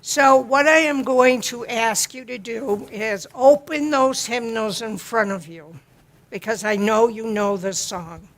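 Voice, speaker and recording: A woman speaking at a church service in a slow, deliberate, homespun way, with what she calls a low voice. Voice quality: describes her own voice as low. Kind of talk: gentle spoken reflection and hymn lead-in. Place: church sanctuary.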